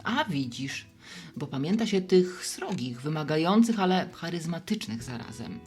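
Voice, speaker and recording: Low-voiced, lively middle-aged barkeeper woman, acted. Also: moderate pace, faint music behind. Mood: gently reflective. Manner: conversational, gently probing and teasing. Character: friendly, wise, teasing middle-aged woman running a bar. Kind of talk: radio play dialogue.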